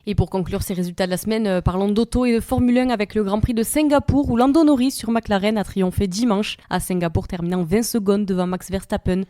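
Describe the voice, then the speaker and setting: A woman reads out sports results for a radio show in a steady, mid-pitched, mellow voice. Small fillers slip into her reading. The sound is dry and close. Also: quick pace, few pauses, dry recording. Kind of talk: read-out news roundup.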